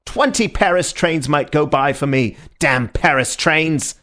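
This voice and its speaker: A man's voice: high-pitched, very animated, moderate pace, gravelly, pitched above the performer's own voice, heavily acted. A man voicing an exasperated, flamboyant nobleman who pleads and rants in breathless bursts. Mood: furious ranting. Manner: pleading, then roaring, breathless tirades.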